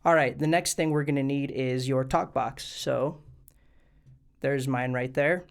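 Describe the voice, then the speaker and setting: A man's voice: medium pitch, steady tone, measured pace, vocal fry, clear, resonant tone. A man hosting a tutorial video, explaining things step by step in an informal, plain way. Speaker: host of a music gear tutorial channel. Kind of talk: casual instructional video tutorial.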